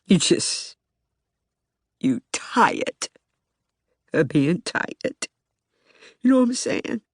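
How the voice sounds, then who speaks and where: A woman's voice: fairly low pitch, sing-song, drawn-out words, husky, dry recording, heavily acted. Woman acting emphatic streetwise man, close and dry. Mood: weary, fed up. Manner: emphatic, colloquial, repetitive, stammering on words. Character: agitated, streetwise young Baltimore man, eyewitness bystander. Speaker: actor in documentary theater. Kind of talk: dramatic monologue, audiobook theater.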